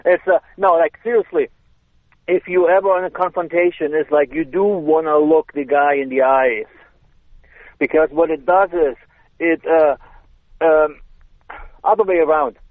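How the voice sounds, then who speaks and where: A man's voice: a little high, fairly even intonation, normal speed, pauses often, no room sound. A man with a German accent, a self-defense instructor, explaining in casual chat with hesitant restarts. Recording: tinny phone line.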